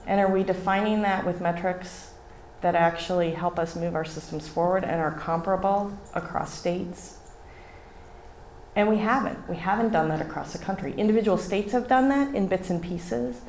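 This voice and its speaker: A middle-aged woman giving a conference keynote at a podium microphone in a hotel hall, animated and often asking "right?". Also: on the low side, moderate pace, a little hiss or hum. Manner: animated, gestures widely, asks rhetorical "right?". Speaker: keynote speaker.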